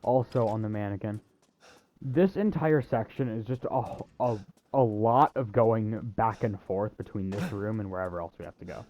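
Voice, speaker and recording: A man's voice: on the low side, normal speed, warm tone. A man giving a casual video game walkthrough in an offhand way, with wry asides. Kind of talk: casual gameplay walkthrough commentary.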